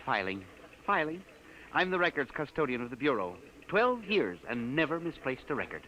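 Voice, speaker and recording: An actor playing an earnest, timid records clerk, flustered and deferential, in 1950s radio drama style. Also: male voice, mid-range voice, big pitch swings, moderate pace, sharp tone. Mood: eager, polite. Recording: dull, very noisy old radio recording.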